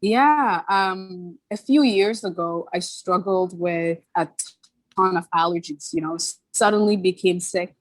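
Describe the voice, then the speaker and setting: A woman's voice: lowish voice, dry recording. A young woman born in Ghana and raised in Toronto, a podcast guest telling her story with earnest candor and easy laughs. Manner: earnest, candid, quick to laugh. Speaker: podcast guest, brand founder. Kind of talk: casual interview storytelling.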